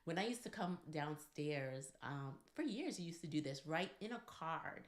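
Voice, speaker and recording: A woman's voice: low voice, animated, moderate pace. A woman co-hosting a relationship advice show, talking conversationally and emphatically, straight to the listeners. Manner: emphatic, addresses listeners directly. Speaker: podcast co-host. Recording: echoey, roomy sound.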